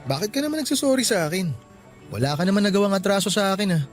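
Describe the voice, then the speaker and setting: A protective young Filipino office guy in a radio drama. His voice sits high and moves quickly, earnest and emphatic as he looks out for his friend. Light music plays underneath. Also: big pitch swings. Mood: concerned, gentle. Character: caring, protective young male office worker, indignant friend.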